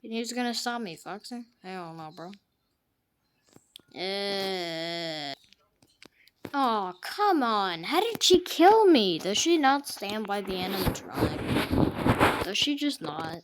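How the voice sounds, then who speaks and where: A woman giving jokey gameplay commentary, trash-talking the game characters and swinging from hushed mutters to sudden loud outbursts. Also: mid-range voice, sing-song, takes it slowly, pauses often, slight background noise. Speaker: gamer.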